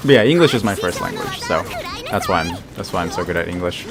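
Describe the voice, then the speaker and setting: A man's voice: deep voice, lively intonation, fast talker, vocal fry, music in the background. An American man in his thirties, chatting casually while streaming a game and answering his chat, laughing often. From United States. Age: 30s. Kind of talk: casual live-stream commentary.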